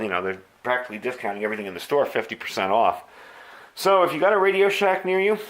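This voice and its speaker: An American man with a mid-pitched voice reviews gear in a casual, hobbyist way. He talks informally through each step as he shows it, like an enthusiast explaining his equipment. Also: a bit bright. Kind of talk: casual product review and demonstration.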